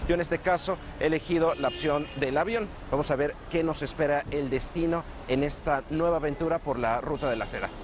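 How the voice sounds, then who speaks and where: A middle-aged man with a Mexican accent, a television news presenter hosting and narrating a travel documentary in a measured, polished broadcast manner. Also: a little high, background noise. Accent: Mexican Spanish. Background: music.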